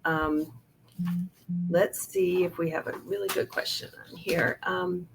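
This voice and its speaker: A middle-aged woman with a low-pitched voice hosts a relaxed interview. She talks in a loose, chatty way, often stopping to restart a thought or fill a pause with "um.". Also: vocal fry, slight background noise, poor audio. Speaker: podcast host. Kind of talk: casual interview chat.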